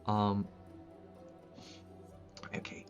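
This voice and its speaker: A young Austrian man speaks English with a German-speaking accent, thinking aloud as he works through a map live. His talk is loose and halting, full of ums and restarts. The sound is a bit dull, with soft music underneath. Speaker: streamer building a map. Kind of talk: casual live-stream chat. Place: small room at a desk, indoors.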